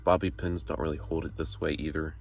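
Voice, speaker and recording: A young man with a very low, slightly congested voice talks in a loose, casual vlog style. He is recorded inside a car, with plainly audible vehicle noise around him. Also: little pitch movement, a little fast. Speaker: vlogger. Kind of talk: casual vlog chat to camera. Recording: noisy car interior with engine hum. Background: car and road noise.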